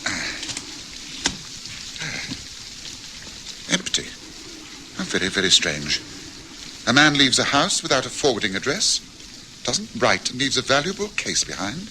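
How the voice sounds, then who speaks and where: An actor playing a shrewd, courteous gentleman detective, speaking formally and deliberately in a radio drama. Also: mid-range voice, sing-song, slight background noise, exaggerated voice, poor audio. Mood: intrigued. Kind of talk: old-time radio drama acting.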